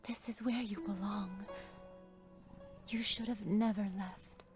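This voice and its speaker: A woman voicing a sorrowful, pleading female immortal in a video game drama, speaking softly and poetically with breath in the voice. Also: medium pitch, fairly even intonation, slow, music in the background. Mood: wistful, regretful.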